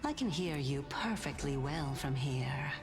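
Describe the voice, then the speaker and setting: A woman's voice: a bass voice, animated, a little slow. An actress playing a playful, regal female Titan in a video game, with a lofty, languid, teasing manner. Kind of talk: scripted video game voice acting. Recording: loud game music behind.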